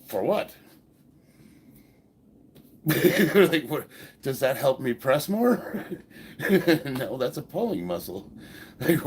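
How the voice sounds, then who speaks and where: A deep-voiced American man in his fifties talks casually to his livestream viewers. He sounds stuffed up from a head cold, and he answers comments bluntly, with swearing and easy laughter. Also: darkish tone, breathy edge. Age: 50s. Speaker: livestream host and powerlifter.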